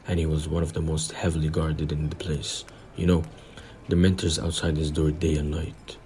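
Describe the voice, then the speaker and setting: Man playing a very deep, solemn government minister. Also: a little mellow, slight background noise. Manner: measured, solemn, formal. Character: grave, pompous government minister, older man. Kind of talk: audio play acting.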